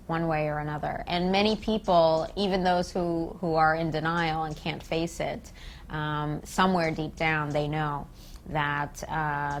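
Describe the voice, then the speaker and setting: Low-voiced American woman, earnest and emphatic. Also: slight background noise. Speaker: peace activist being interviewed. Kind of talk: political interview commentary.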